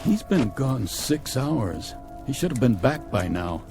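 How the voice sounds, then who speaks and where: A man's voice: mid-range voice, big pitch swings, measured pace, creaky. An actor playing a stern captain in a vintage TV drama, commanding and sarcastic, fiercely protective of his crew. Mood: concerned. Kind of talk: mid-century television drama acting. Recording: music under dialogue.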